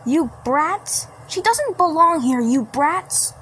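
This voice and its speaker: A woman plays an indignant young cartoon heroine, high-pitched and confrontational. She stumbles and repeats her demands at a slow pace, protective of her sister, in an amateur fandub. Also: animated, a bit bright, slight background noise, exaggerated voice. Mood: defiant anger. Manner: stammering, repetitive, confrontational.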